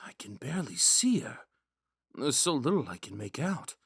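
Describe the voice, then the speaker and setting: A man playing a gentle, smitten young prince in a romantic audio roleplay, musing and hesitant in a courteous way. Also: medium pitch, big pitch swings, normal speed, gravelly, husky, dry recording, studio quality, heavily acted. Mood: curious, enchanted. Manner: musing, hesitant, courteous, with sighs and whispered asides.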